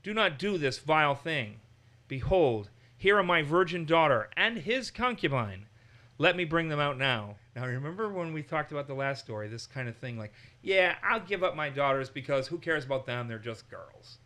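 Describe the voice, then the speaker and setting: Male Bible teacher, lively and bright, slips into voices to act out scenes. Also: fairly high pitch, normal speed. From Massachusetts. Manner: animated, acts out quotes in raised voices. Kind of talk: conversational Bible study.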